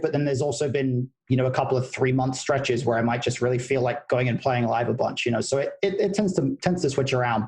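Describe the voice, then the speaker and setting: Relaxed British guest in his 30s with a slightly Americanized accent, thoughtful and meandering, mid-pitched voice. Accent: British, partly Americanized. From United Kingdom. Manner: easygoing and thoughtful, with many restarts. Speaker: guest, poker player and coach. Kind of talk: casual podcast interview.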